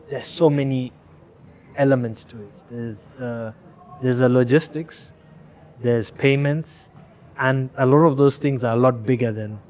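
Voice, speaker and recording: A male fashion founder from Rwanda talking candidly as an interview guest. He speaks at a middle pitch, haltingly, often restarting words as he gathers his thoughts. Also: a little mellow.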